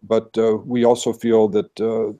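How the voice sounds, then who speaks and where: A man's voice: fairly low pitch, level tone, unhurried, mellow. A man giving an earnest, explanatory presentation at an online meeting, thinking aloud as he goes, heard through a video call.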